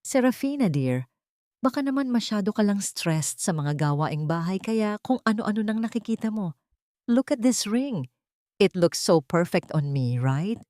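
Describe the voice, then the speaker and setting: A woman's voice: mid-range voice, expressive, measured pace, warm tone, dry recording, studio quality, voice pushed up, natural voice. Smug young mistress performed by a female reader, mocking, falsely concerned, condescending, audio drama. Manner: mock-concerned, condescending, mixes in English.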